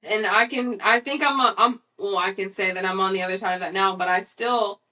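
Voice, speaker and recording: A woman in her twenties co-hosting a casual podcast, talking bluntly and candidly in a fairly even tone. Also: mid-range voice, a little fast, far from the mic, poor audio. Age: 20s. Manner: blunt, candid, says she sounds monotone. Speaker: podcast co-host. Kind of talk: casual chat.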